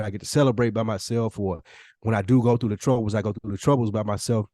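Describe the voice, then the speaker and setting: A man's voice: fairly low pitch, darkish tone, dry recording, studio quality. A man hosting a podcast in casual conversation, speaking candidly and in a self-effacing way. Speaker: podcast host.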